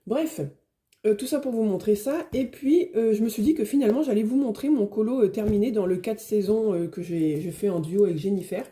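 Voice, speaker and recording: A hoarse middle-aged woman chatting casually to her phone at her desk, rambling with lots of fillers and restarts. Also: medium pitch, fairly quick, warm tone. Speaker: vlogger. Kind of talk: casual chat.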